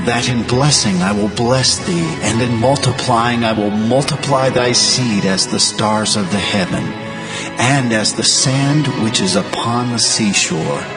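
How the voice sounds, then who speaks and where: An actor voicing a solemn, authoritative angel of the Lord, proclaiming in a dramatized Bible. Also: male voice, on the low side. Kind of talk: dramatized Bible audio acting. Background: prominent music.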